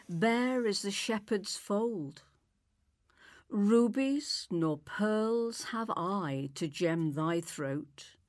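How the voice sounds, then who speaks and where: A woman reading verse in a measured recitation. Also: mid-range voice, no echo. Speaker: poetry reader.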